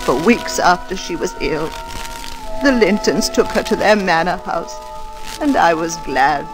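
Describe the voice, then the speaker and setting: Solemn old serving woman played by an actress, storytelling, vintage radio drama, clear music behind. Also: lowish voice, measured pace, natural voice, low-quality recording. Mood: solemn, reminiscing. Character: devoted old female servant telling a tragic tale. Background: background music.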